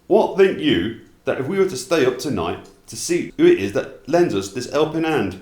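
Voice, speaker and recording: A man's voice: fairly high pitch, very animated, moderate pace, a little mellow, reverberant, voice pushed up. A man voicing a humble, curious shoemaker husband in a storybook, speaking in formal old-fashioned phrases. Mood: curious, willing.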